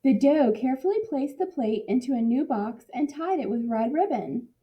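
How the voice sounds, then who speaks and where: High-voiced woman reading a storybook, muffled sound. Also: expressive, moderate pace. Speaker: teacher reading a picture book aloud. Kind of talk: expressive storybook narration for children.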